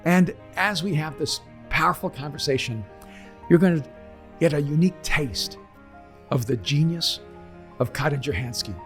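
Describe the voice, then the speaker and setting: A man's voice: a little high, normal speed, long pauses, a bit bright, soft background music. A man hosting an interview podcast outdoors on a city street, talking in an earnest, effusive way. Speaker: podcast host.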